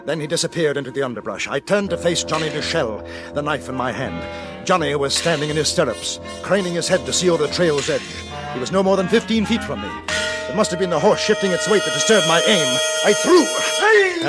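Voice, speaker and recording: An actor playing an earnest newspaper correspondent in an Old West radio drama, narrating with dramatic intensity. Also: male voice, a little high, sing-song, a little fast. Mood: breathless, urgent. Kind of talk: 1950s radio western acting, dramatic first-person narration. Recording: old radio recording, music behind.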